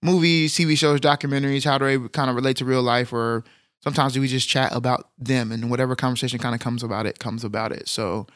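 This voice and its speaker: A man from Texas co-hosting a podcast in casual chat, rambling and joking, his voice a little deeper than usual in the morning. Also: flat delivery, fast, fairly bright tone, no room sound, studio quality. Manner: laid-back, rambling, joking. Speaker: podcast co-host.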